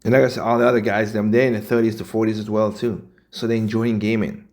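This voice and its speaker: A man in his forties hosting a gaming channel, speaking in casual commentary, often rising to loud, emphatic outbursts. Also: lowish voice, flat delivery, fast. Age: 40s. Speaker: channel host reacting to clips.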